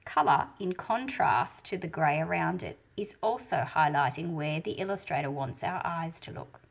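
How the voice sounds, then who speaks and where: A woman reading a storybook aloud and explaining it as she goes, in an expressive, deliberate manner with a slightly husky, breathy quality. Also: low-pitched. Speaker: picture book read-aloud host. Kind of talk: storybook read-aloud with teaching commentary.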